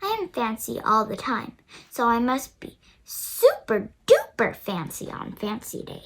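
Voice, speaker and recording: A girl of about nine reading a picture book aloud, careful and a little halting as she goes. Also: fairly high pitch, huge pitch swings, whispered. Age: child, about nine. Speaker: young reader of a picture book. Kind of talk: storybook read-aloud narration.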